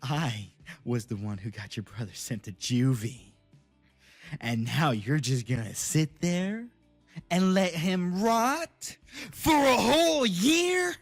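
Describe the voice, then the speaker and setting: An actor playing a sadistic teenage boy bully in a horror audio drama, with a raspy, menacing, drawn-out taunting delivery. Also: mid-range voice, lively intonation, soft background music. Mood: gloating.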